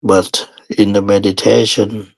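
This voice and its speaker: A man teaching guided meditation in short, measured phrases with long pauses between them. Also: fairly low pitch, little pitch movement, gravelly.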